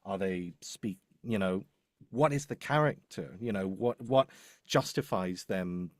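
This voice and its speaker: A man's voice: low voice, measured pace, no room sound, clean studio sound. A man explaining his craft as a demo producer in a live workshop, emphatic and often repeating and reworking his phrases. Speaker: voice-over demo producer and script writer.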